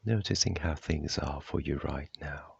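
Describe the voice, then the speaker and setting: Very deep, creaky male meditation guide. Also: no room sound, highs cut off. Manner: gentle, unhurried, measured phrasing. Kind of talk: guided body scan meditation, slow instructions with long pauses.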